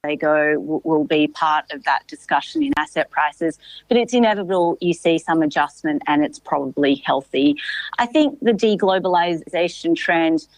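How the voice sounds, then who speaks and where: A woman giving measured, analytical explanations as an economic commentator in a radio discussion. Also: sits low, lively intonation, dry recording, poor audio.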